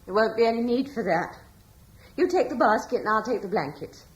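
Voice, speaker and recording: An actress playing a devout, gentle young wife in a radio drama, speaking in an earnest, tender and slightly hesitant way. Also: female voice, fairly high pitch, lively intonation, a little fast, lo-fi sound, a little hiss or hum, natural voice. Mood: calm, tender. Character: devout, gentle young wife in ancient times, calmly reassuring.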